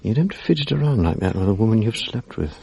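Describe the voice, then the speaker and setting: A worldly young Englishman, low and gravelly with a dark tone, speaking coolly with lively rises and falls. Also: fast, lo-fi sound, slight background noise. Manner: cool, matter-of-fact. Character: worldly young man, cool, self-assured. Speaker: narrator and friend. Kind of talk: 1980s radio drama acting.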